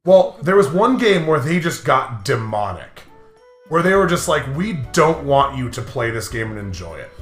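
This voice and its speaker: A man's voice: mid-range voice, big pitch swings, moderate pace. A man casually bantering and telling gaming stories in an emphatic, exasperated way. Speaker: host of a gaming commentary video. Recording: roomy sound with music behind.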